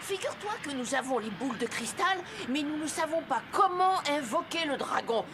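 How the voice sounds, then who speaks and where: A woman voicing a bold young adventurer in a French anime dub, brisk and eager. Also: high voice, lively intonation, noisy background. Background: anime soundtrack music.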